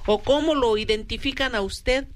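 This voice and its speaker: A woman's voice: on the high side, normal speed, a little hiss or hum. A middle-aged woman from Mexico hosting a radio talk show, chatting casually with plenty of fillers. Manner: conversational, many fillers like "o sea".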